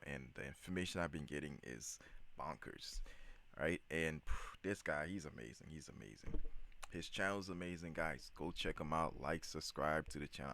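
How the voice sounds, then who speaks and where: A man's voice: very deep voice, fairly bright tone. A man hosting his channel, casually reacting to a video in a hyped, slangy way and repeating himself.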